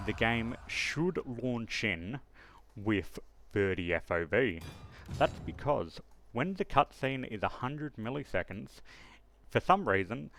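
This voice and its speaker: Low, creaky-voiced man giving a tutorial. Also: fairly bright tone, no echo. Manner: thinks aloud, instructional. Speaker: tutorial host. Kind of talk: step-by-step screen tutorial narration, unscripted.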